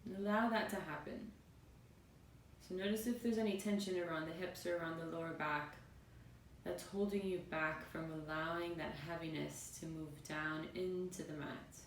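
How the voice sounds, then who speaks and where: Woman meditation guide, soothing and spacious phrasing; roomy, echoing recording with faint background rumble. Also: fairly even intonation. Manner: soothing, unhurried phrases with pauses. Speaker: yoga and meditation teacher. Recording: roomy, distant mic, faint noisy rumble.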